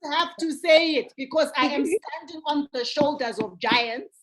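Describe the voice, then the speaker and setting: A woman panelist on a video call, speaking forcefully and emphatically, repeating herself for effect. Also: high voice, normal speed, over a noisy crowd. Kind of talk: virtual roundtable discussion.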